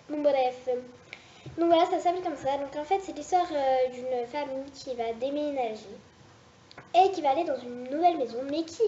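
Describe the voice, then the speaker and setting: A woman casually pitching story ideas in a vlog, rambling and hesitant with many restarts. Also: dark tone. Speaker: web series creator. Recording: roomy, dull lo-fi sound with faint hiss.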